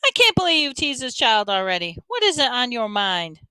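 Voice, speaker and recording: A woman's voice: on the high side, big pitch swings, a little fast, pushed and bright, smooth, no room sound, pitched above the performer's own voice. A woman voicing a caring, scolding middle-aged mother who fusses over a boy. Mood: motherly. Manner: fussing, motherly.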